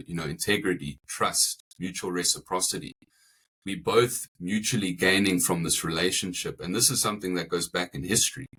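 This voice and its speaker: A man's voice: low voice, fairly bright tone, husky, low-quality recording. A man with an English South African accent, a family insurance broker speaking thoughtfully in an interview and often repeating words as he builds his point. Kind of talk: interview conversation.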